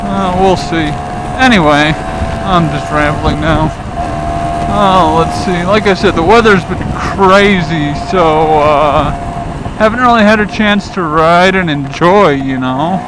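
A man's voice: a little high, slow pace. Laid-back rambling man riding in traffic. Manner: chatty, laid-back, lots of "uh" and "you know". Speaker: motovlogger. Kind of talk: rambling casual vlog while riding. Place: outdoors on a scooter in city traffic. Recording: wind and traffic noise. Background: road and engine noise.

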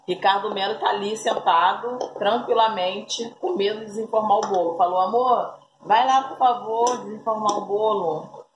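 A chatty woman in her thirties from Brazil talks casually to her viewers from her kitchen. Her voice sits in a middle pitch and is picked up by a phone camera on the counter. There is clear background noise throughout. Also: moderate pace. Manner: chatty, keeps calling viewers "família". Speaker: family vlogger. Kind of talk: casual home vlog narration. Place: home kitchen and dining room. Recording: noisy home phone recording.

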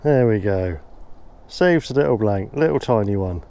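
A man's voice: fairly low pitch, animated, moderate pace, creaky, warm tone. A man fishing outdoors, cheerful and bantering with his friend. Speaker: angler. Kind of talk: casual fishing vlog chat. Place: canal bank outdoors.

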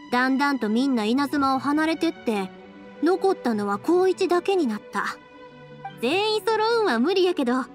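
An actress playing a cheerful, warm-hearted young woman with a Kansai accent in an anime game, speaking in a friendly, breezy, folksy way. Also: high voice.

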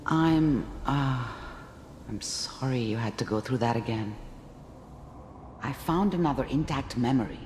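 An actor playing a gentle doctor in a video game, speaking in a hesitant, apologetic way. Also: male voice, medium pitch, a little slow, lightly acted. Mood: sympathetic, tentative. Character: gentle, hesitant doctor guiding someone through memories. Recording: reverberant hall, faint noise.

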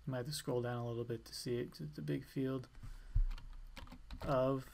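A man giving an informal step-by-step software tutorial in a plain, instructional way. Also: mid-range voice, fairly even intonation, normal speed, creaky, slight background noise. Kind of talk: step-by-step screencast walkthrough, informal.

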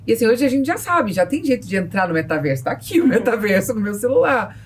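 Brazilian woman talking quick on a podcast, emphatic, a bit off mic. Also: fairly high pitch, big pitch swings, breathy edge, distant mic. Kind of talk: casual panel discussion. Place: small padded podcast studio. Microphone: boom-arm studio microphone.

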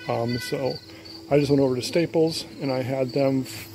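A man's voice: medium pitch, moderate pace, a little hiss or hum, low-quality recording. Easygoing man narrating a garage build. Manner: relaxed and plainspoken, with frequent ums and uhs. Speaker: woodworking hobbyist filming his canoe build. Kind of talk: casual, unscripted workshop vlog. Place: home garage and driveway, outdoors at times.

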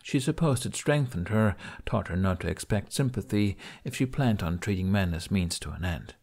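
A man reading a story as an audiobook narrator, in an expressive scripted style. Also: deep voice, normal speed.

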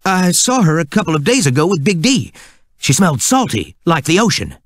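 A man's voice: fairly high pitch, big pitch swings, fairly quick, no room sound, clean studio sound. A man voicing a showy, evasive female nightclub singer car in a storybook. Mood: confiding.

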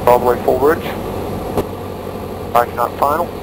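A man's voice: medium pitch, a little slow, creaky. A man working as a pilot, making radio calls and talking himself through the flight in short procedural phrases. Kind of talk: radio calls and self-talk while flying. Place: small aircraft cockpit in flight. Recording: loud aircraft engine noise.

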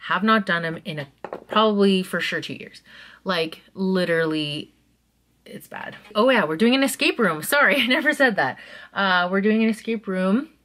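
A woman in her thirties chatting excitedly to camera while doing her makeup in a small bedroom, drifting into soft asides. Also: mid-range voice, normal speed. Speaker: vlogger. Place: small bedroom at home, indoors. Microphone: camera close in front of her.

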